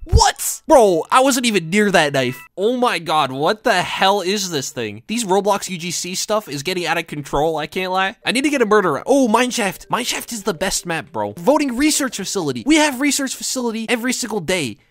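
High-pitched, animated young man, slightly sick. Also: fairly quick, few pauses. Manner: slangy, playful, exclaims often. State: slightly sick, voice a little deeper than usual. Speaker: gaming video creator. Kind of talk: excitable casual gameplay commentary.

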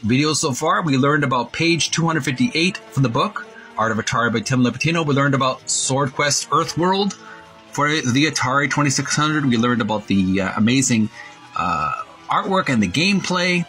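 A man hosting a casual narrated show-and-tell, partly reading aloud, in a halting way with repeats and self-corrections. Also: medium pitch, expressive, bright, forceful tone, soft background music, rough recording. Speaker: video host.

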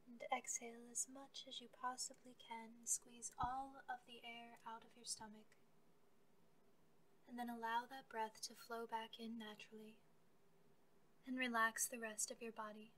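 A woman leading a guided meditation, speaking gently and calmly as she gives soft scripted instructions. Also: a little high, a little slow, slight background noise, poor audio.

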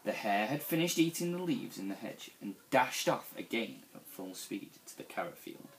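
Animated man reading a children's storybook. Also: lowish voice, a little hiss or hum, poor audio. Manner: animated, deliberate storytelling delivery. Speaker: school staff member reading to young children.

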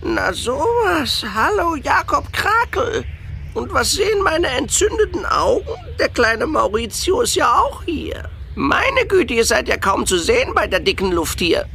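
Casting: wise old turtle aunt, gravelly, hugely animated, children's radio play. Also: female voice, high voice, slight background noise, full character voice. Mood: surprised, welcoming. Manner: slow, oracular, drawn-out delivery. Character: kindly ancient female turtle, wise and solemn.

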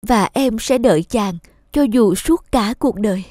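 A woman's voice: a little high, expressive, measured pace. A woman playing a sorrowful young woman in a dramatic fairy-tale cartoon. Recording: loud music under the voice.

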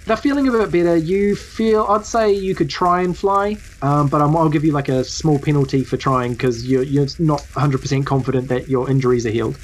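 Low-voiced New Zealand woman narrating. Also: brisk, hardly any pauses, low-quality recording. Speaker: game master. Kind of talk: casual improvised game narration.

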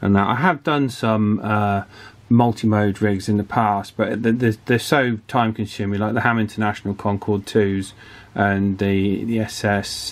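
A man giving an informal hands-on demonstration, hesitant and thinking aloud as he works. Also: fairly low pitch. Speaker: radio repair hobbyist presenting. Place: indoor electronics workbench.

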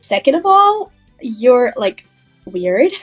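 A woman's voice: fairly high pitch, sing-song, slow, slightly rough, soft background music, exaggerated voice, poor audio. A woman voicing a bossy, indignant young lady of the lake hedgehog in an improvised cartoon fandub, blunt but full of hesitant filler phrasing.

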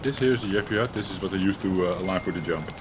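Deep-voiced man joking in voice chat. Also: very fast. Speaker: co-player, streamer's brother. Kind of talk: casual chat while gaming. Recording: noisy voice chat audio.

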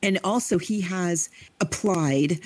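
A woman's voice: fairly low pitch, slow, no echo. A woman co-hosting a podcast, chatting casually about a book and acting out quoted lines in playful voices. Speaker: podcast co-host.

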